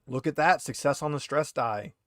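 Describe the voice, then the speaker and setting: A man running a tabletop roleplaying game, explaining rules and narrating in a casual, halting way as he thinks aloud. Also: fairly high pitch, a bit bright, dry recording, studio quality. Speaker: game master.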